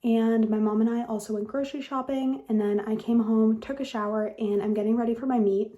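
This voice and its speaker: A woman's voice: a little high, fairly even intonation, brisk, warm tone, lo-fi sound. Chatty teen girl vlogging on a phone. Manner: chatty, rambling, frequent "like". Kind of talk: casual vlog talk to camera. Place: outdoors at the beach, then at home.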